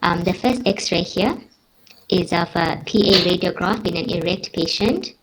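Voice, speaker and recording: A woman's voice: lowish voice, unhurried, noisy background. A woman giving a medical teaching presentation, explaining in a careful and somewhat hesitant way.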